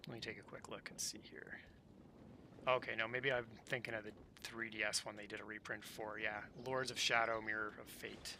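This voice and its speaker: A man's voice: on the low side, level tone, normal speed, bright, forceful tone, a little hiss or hum. A man streaming a game and chatting casually, in a rambling, conversational way. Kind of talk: casual chat during livestream. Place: indoors, game audio and music behind.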